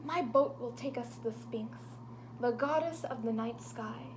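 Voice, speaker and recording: A woman voicing a kind, wise male sun god in a storybook, speaking softly and slipping into whispers. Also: fairly high pitch, unhurried, background noise, lightly acted. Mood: gentle, grave. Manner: soft, hushed, sometimes whispered.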